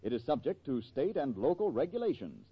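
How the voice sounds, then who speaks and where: A man announcing on 1940s radio with a polished sales pitch. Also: sing-song, a little fast, breathy edge. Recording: muffled vintage 1940s radio broadcast.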